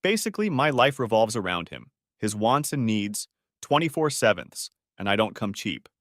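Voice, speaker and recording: A man's voice: lowish voice, very animated, moderate pace, a bit bright, no echo, clean studio sound. A man voicing a young woman assistant who talks frankly about her job. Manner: frank, matter-of-fact.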